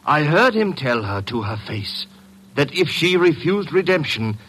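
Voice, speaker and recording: Bright, theatrical, flustered stage actor. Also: male voice, a little high, big pitch swings, unhurried. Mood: indignant. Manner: affected, florid, hesitant under pressure. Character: theatrical, self-important male stage actor, easily flustered. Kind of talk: old-time radio drama acting. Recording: noisy old radio recording.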